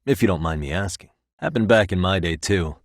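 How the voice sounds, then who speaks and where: A man's voice: low-pitched, expressive, fairly quick, dry recording. An actor in his thirties with an American accent playing an easygoing, wry private detective in casual video game dialogue. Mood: curious, relaxed. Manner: casual, dry wry humour.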